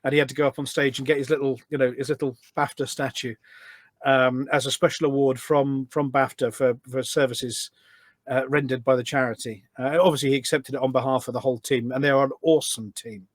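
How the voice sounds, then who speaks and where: A man's voice: mid-range voice, steady tone, fairly quick, no echo. A British man chatting casually and telling stories as a podcast guest, enthusiastic and emphatic, often repeating his phrases. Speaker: podcast guest, charity event organiser. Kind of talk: casual conversational storytelling.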